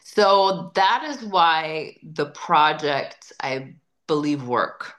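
A woman's voice: sits low, expressive, very slow, fairly bright tone. A woman singer-songwriter being interviewed, talking in a chatty, emphatic way and often repeating words while she thinks. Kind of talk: casual interview conversation.

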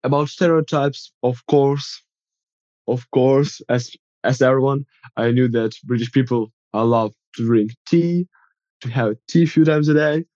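A young man from Ukraine with a Ukrainian accent, answering interview questions in careful, slightly halting English. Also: mid-range voice, a little mellow, dry recording, lo-fi sound.

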